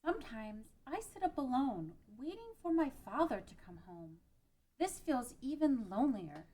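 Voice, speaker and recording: A woman's voice: high-pitched, big pitch swings, normal speed, warm tone. A woman playing an earnest, tender-hearted young ranch girl, emotive, in an amateur fantasy drama read. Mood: lonely. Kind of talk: amateur table read of a game-based drama.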